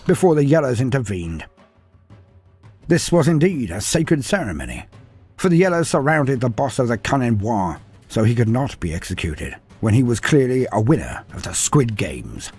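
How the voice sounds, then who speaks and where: Animated male storyteller, dramatic fantasy narration. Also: mid-range voice, husky, soft background music. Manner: theatrical, deliberate storytelling with florid wording.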